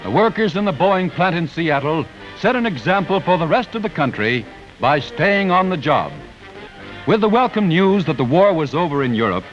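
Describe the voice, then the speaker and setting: A man's voice: on the high side, fairly bright tone. An American man reading scripted 1940s newsreel narration in a solemn, declamatory, patriotic manner. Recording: dull vintage newsreel soundtrack with music.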